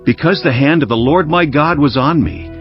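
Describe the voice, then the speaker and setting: A man narrating scripture as a dramatized first-person account, solemn and declamatory, with deliberate phrasing. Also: fairly high pitch. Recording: dull lo-fi audio with music bed. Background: background music.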